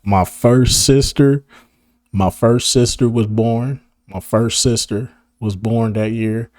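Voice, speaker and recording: A hoarse man of about thirty, talking casually to camera in a rambling, slang-heavy way and laughing often. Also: medium pitch, fairly even intonation, slow pace, darkish tone, no room sound. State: hoarse, losing his voice. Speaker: video creator. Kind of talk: casual reminiscing monologue to camera.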